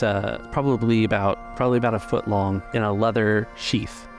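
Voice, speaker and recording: A man with a lowish, gravelly voice narrates a tabletop game as its game master. He makes up descriptions deliberately, pausing as he goes, over clearly heard music.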